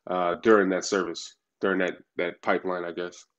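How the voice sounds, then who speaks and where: A man's voice: low voice, level tone, fairly quick, lo-fi sound, crowd noise. A podcast host asking his guest a question, hesitant and filled with "uh". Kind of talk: interview questions.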